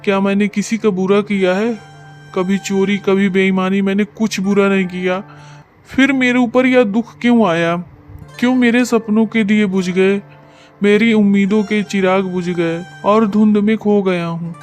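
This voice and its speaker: A poor village blacksmith, played by a man speaks in a high-pitched voice, full of sorrow. He laments like a weary husband and father in a moral cartoon story, with faint music behind him. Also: fairly even intonation, a little slow. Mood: despairing. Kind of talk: dramatized Hindi story narration.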